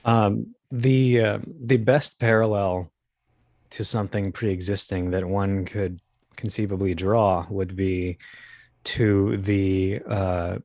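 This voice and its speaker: A man's voice: fairly low pitch, level tone, slow pace, darkish tone, no echo, studio quality. A man speaking as an interview guest, explaining his voice acting craft in a hesitant, rambling way with wry asides. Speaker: guest, voice actor.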